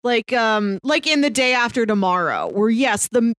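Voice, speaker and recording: A woman with a fairly high voice talks casually as a movie podcast co-host. Her pitch moves around in a lively, animated way, and the sound is close and dry. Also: normal speed, no echo. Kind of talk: casual film discussion.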